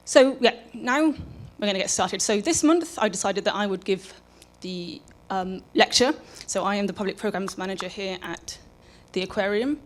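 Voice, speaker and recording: A woman speaks as the presenter of a public lecture. Her delivery is informal and halting, often breaking off to rephrase. She talks into an event microphone in a live setting. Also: medium pitch. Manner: informal, halting, self-interrupting. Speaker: public programs manager and lecturer. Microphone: microphone for live streaming.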